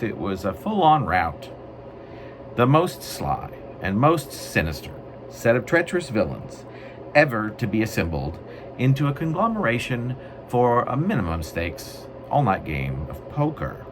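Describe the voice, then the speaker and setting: A man with a mid-range voice that swings widely in pitch speaks as a poet presenting his own work. His phrasing is deliberate and emphatic. The recording is amateur, with clear background noise. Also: sing-song. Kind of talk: dramatic poetry reading with casual spoken introduction.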